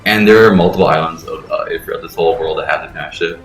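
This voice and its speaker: A man's voice: sits low, fast. A man giving casual game commentary, explaining things in a rambling way with frequent swearing. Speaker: let's play host explaining the game. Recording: roomy echo, faint game music behind.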